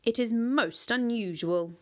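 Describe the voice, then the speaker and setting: A woman voicing an ancient, gigantic yew-tree monster that speaks slowly and gravely, with quiet command. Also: a little high, voice pushed up, close to an everyday voice.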